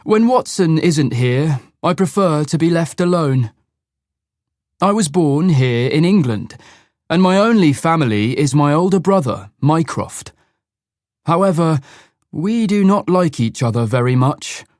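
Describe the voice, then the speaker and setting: A man reading the part of a proud, clever detective slowly and carefully for learners. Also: fairly high pitch, lively intonation, darkish tone, no room sound, studio quality. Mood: self-assured. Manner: slow, measured, self-assured.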